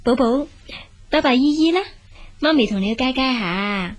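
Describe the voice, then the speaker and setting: A hard-working elder sister and young mother in a Hong Kong Cantonese radio play. She has a fairly high voice and looks after everyone. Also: expressive, normal speed, soft background music. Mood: brisk, motherly. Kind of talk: radio drama acting.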